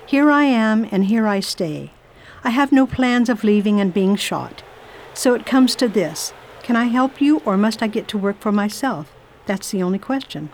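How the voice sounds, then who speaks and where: A woman's voice: mid-range voice, animated, moderate pace, a little hiss or hum, lightly acted. A woman playing a determined volunteer nurse in a radio play, formal, forthright and insistent.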